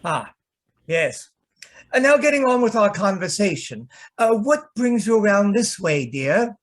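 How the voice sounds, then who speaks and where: A man's voice: high voice, sing-song, a little slow, full character voice. A man playing a fussy, theatrical, peevish photographer in an old-time radio show, with an arch, genteel, hesitant manner. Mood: fussy, welcoming. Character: fussy, theatrical, grumbling male photographer, affectionate but peevish. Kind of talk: old-time radio comedy-mystery acting.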